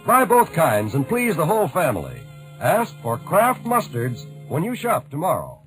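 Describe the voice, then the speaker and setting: A man's voice: a little high, huge pitch swings, normal speed, faint music behind, rough recording. A man reading a commercial as a 1940s radio announcer.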